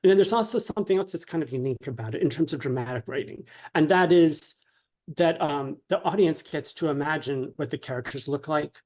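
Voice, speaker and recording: A man with a slightly high-pitched voice talks as a guest in an interview. He explains his craft conversationally and haltingly, feeling his way through sentences and tagging them with "right?". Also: a little mellow. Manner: halting, often checks with "right?".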